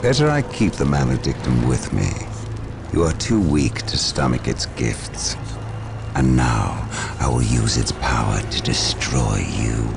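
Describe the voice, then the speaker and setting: A man playing a scornful, domineering chaos warlord villain in a video game cutscene, gravelly and threatening. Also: extremely low pitch, background noise, exaggerated voice. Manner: contemptuous, threatening.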